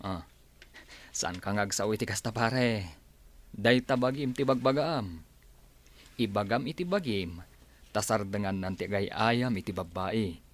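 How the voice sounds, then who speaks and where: A man's voice: lowish voice, a little slow, pauses often. An actor playing a frank young man who chides and advises his friend in a radio drama. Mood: chiding.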